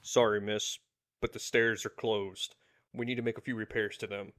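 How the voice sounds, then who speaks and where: A man's voice: on the low side, little pitch movement, normal speed, a bit bright, dry recording, studio quality, natural voice. A man playing a matter-of-fact hospital maintenance worker, polite and businesslike. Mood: apologetic, brisk.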